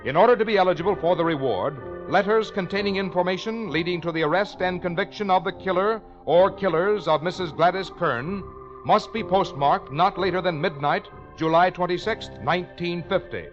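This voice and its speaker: A man with a fairly high voice reads formal announcements in a 1950s radio style. He enunciates deliberately and precisely. The recording is dull and muffled, with faint music behind him.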